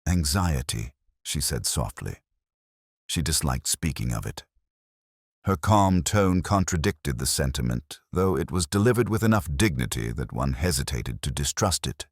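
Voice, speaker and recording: Very deep-voiced man narrating a detective story. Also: animated, a little slow, no room sound, studio quality. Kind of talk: scripted first-person detective narration.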